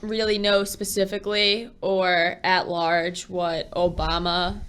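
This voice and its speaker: A young woman as a podcast guest, talking in casual chat with many pauses and fillers. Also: on the low side, level tone, fairly bright tone, clear, resonant tone. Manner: hesitant, many ums and likes.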